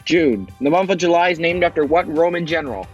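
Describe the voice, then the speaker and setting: Guy hosting a quiz game, bit high-pitched, peppy, faint music behind. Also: lively intonation, highs cut off. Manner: upbeat, announcing questions briskly. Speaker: quiz show host.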